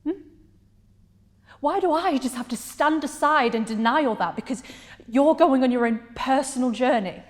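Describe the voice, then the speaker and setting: Actress playing wounded, defiant woman confronting a partner onstage. Lightly high, intense, halting, reverberant space. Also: animated. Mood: hurt, defiant. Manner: intense, halting, drops to breathy whispers. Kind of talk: dramatic stage monologue.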